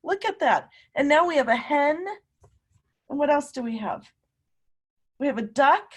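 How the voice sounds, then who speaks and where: A woman teacher reading a picture book aloud to young children, animated and emphatic, often putting questions to her listeners. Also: high-pitched. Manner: animated, emphatic, drawn-out words, coaxing young children along.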